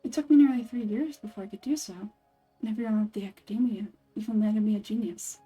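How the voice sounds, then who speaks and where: A woman's voice: medium pitch, fairly even intonation, fast talker, dark tone, slightly rough, soft background music, lightly acted, low-quality recording. A woman voicing an earnest, scholarly young woman researcher in game dialogue, speaking formally and articulately. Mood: explaining, serious. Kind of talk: video game dialogue read-through.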